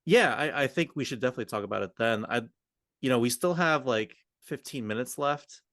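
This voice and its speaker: A man's voice: medium pitch, animated, brisk, a bit bright, dry recording, clean studio sound. A man co-hosting a podcast, talking in an informal, collaborative, conversational manner. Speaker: podcast co-host. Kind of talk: roleplay and conversational coaching discussion.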